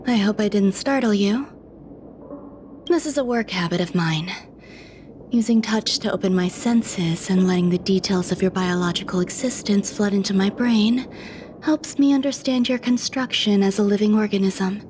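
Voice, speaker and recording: An actress playing a serene, clinically detached female scientist in a video game cutscene, speaking calmly. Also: medium pitch, normal speed, a little hiss or hum, natural voice.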